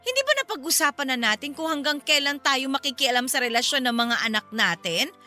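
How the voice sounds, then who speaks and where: Sassy defensive filipino mom, really high bright voice, radio drama with music. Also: female voice, expressive, a little fast. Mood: firm, defiant. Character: defensive middle-aged mother, sassy, protective of her son.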